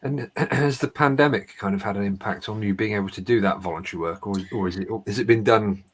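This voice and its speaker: A man's voice: on the low side, fast talker, husky. A man hosting an online interview, asking questions in an easygoing way with some ums. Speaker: host. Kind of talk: casual video-call interview. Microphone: webcam on a video call.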